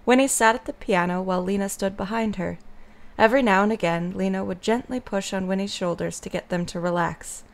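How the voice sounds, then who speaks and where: A woman's voice: mid-range voice, brisk, vocal fry. A woman narrating a scripted story as the narrator of an audio drama.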